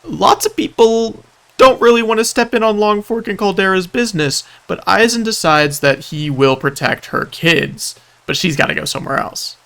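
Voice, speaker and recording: A man's voice: high-pitched, normal speed, creaky, a bit bright. A man narrating a scripted comedic recap in a wry, informal, mock-epic storytelling way. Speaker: video narrator.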